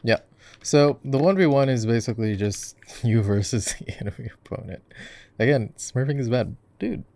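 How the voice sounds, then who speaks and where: A man's voice: medium pitch, normal speed, vocal fry, darkish tone, lightly acted. A man voicing an easygoing gamer boyfriend in a casual, chatty roleplay, rambling playfully and interrupting himself as he explains. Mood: casual, explaining. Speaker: voice actor, roleplay performer. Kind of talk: casual ASMR roleplay, chatty gaming commentary.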